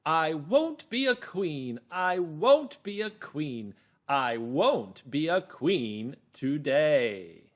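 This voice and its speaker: A man voicing a stubborn, put-upon queen in children's reader's theater, declaiming in drawn-out, stressed chants. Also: fairly high pitch, very animated, pitched above the performer's own voice, full character voice. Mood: firm chanting.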